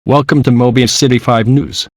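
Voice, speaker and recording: A man's voice: medium pitch, fairly even intonation, moderate pace, a little hiss or hum, close to an everyday voice. A man playing a local television news anchor reading a scripted newscast. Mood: formal.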